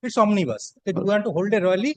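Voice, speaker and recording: A man with a Bengali Indian accent argues formally as a lawyer before a judge. He speaks quickly in a high, dry-sounding voice, keeping a deferential manner. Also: expressive, darkish tone, no echo. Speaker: lawyer making submissions to a judge. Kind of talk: formal courtroom argument.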